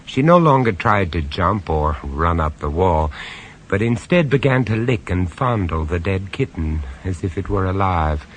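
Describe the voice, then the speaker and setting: Deep-voiced radio horror narrator, slow and dramatic, swoops up and down a lot. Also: male voice, lively intonation, slight background noise, rough recording. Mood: grave. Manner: measured, dramatic storytelling with dry sarcasm. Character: grave, wry male storyteller on a castle tour. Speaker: first-person narrator of a radio horror story.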